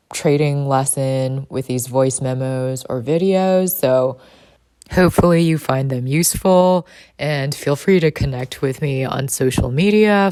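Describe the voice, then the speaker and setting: A woman in her thirties speaks in a low, husky voice, relaxed and chatty as she vlogs. The sound is dry and close, with no room around her. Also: no room sound. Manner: relaxed and chatty, with light laughs. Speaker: vlogger and trader. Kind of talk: casual vlog narration.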